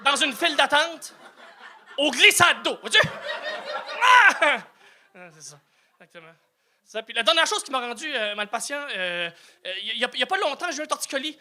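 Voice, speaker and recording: A man's voice: extremely high pitch, big pitch swings, moderate pace, long pauses, sharp tone. A man, doing a self-mocking stand-up set with stammered restarts and swearing. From New Brunswick, Canada. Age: 30s. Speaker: stand-up comedian.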